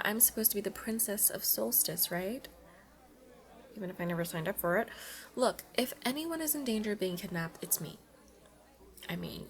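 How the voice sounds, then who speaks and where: A woman playing a playful, pleading young alien princess at college in an ASMR roleplay, chatting and coaxing the listener directly. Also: fairly high pitch.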